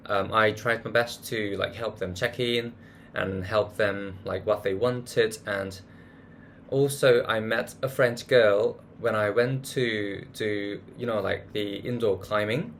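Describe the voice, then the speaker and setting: A man's voice: fairly low pitch, a bit bright, slight background noise. A man chatting casually through a monthly recap vlog, hunting for words with many fillers and restarts. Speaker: vlogger.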